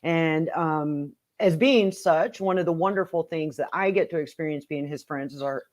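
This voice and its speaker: A woman hosting an interview, enthusiastic and quick to laugh, often restarting phrases. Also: sits low, a little mellow. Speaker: host.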